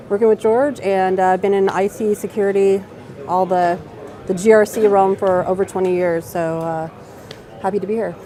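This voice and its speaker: A woman's voice: fairly low pitch, warm tone. A woman panel guest, a compliance officer, who is losing her voice and speaks matter-of-factly. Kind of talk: live panel discussion.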